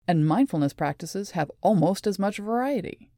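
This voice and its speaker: A woman presenting a scripted explanatory talk in a measured, deliberate and articulate way. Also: mid-range voice, expressive, dark tone, no echo, studio quality. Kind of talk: scripted explanatory narration with read quotations.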